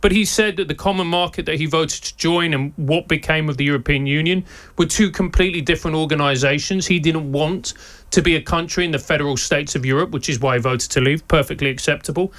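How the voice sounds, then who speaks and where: A man's voice: fairly high pitch, fast. South London man telling wry stories. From England. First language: Spanish. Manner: lively anecdotal delivery with wry humour and laughs. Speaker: guest, podcast co-host and former teacher. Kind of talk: conversational interview storytelling.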